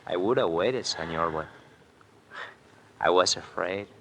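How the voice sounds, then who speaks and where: An actor playing a deferential, cautious Mexican informant in a radio drama, with a Mexican accent and halting, polite English. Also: male voice, deep voice, vocal fry, fairly bright tone, slight background noise, heavily acted. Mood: wary, obliging. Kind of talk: 1950s radio drama acting.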